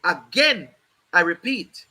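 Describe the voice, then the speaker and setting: A hoarse man hosting a livestream, explaining a court ruling in an emphatic, repetitive, lecturing way while addressing his viewers directly. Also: high-pitched, big pitch swings, slow pace, bright, forceful tone. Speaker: host of a legal rights livestream. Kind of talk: livestreamed legal commentary.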